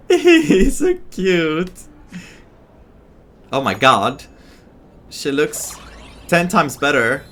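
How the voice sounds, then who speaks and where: A man's voice: on the high side, wildly swooping pitch, drawn-out words, pauses often, fairly bright tone. A man giving casual, amused, reactive commentary as he plays through a video game. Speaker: gaming streamer.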